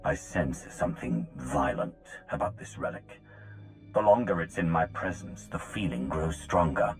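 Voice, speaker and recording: A man's voice: very deep voice, lively intonation, moderate pace, soft background music. A man voicing a brooding, resolute warrior in a game, speaking in a stern, direct, serious manner. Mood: probing. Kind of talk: video game cutscene acting.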